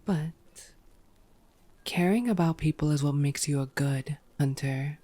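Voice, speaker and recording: Woman acting a low, languid, teasing vampire woman. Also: mellow. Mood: grudging, sardonic. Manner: sardonic, teasing, drawn-out words dropping toward whispers. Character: teasing, unrepentant bloodthirsty female vampire, old yet youthful-sounding. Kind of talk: ASMR roleplay acting.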